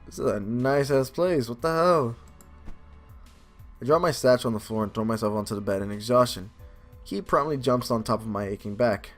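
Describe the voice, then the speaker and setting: A man's voice: mid-range voice, normal speed. A man doing a casual let's play of a game, moving between commentary and reading aloud. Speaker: game video creator. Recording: music behind the voice. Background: game music.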